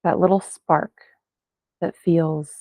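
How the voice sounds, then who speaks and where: Phone-like, even-toned woman guiding meditation. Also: deep voice, no echo. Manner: gentle, unhurried phrases with long pauses. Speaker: meditation guide. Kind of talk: guided meditation. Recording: band-limited, phone-like sound, dry.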